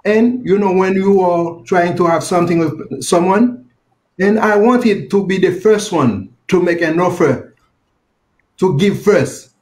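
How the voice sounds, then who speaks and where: A man's voice: high-pitched, unhurried, darkish tone. A man from Haiti with a Haitian French accent and French as his first language, a financial advisor answering interview questions earnestly in simple phrases.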